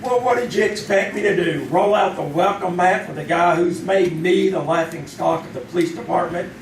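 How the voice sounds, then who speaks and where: Police captain, male, played by a stage actor: aggrieved, emphatic, sarcastic, old-time radio style, distant echoey theater recording. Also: sits high. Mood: sarcastic, indignant. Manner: sarcastic, emphatic, drawn-out delivery. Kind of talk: old-time radio drama acting, performed live. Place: theater stage, performed live. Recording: distant, echoey live stage, faint noise.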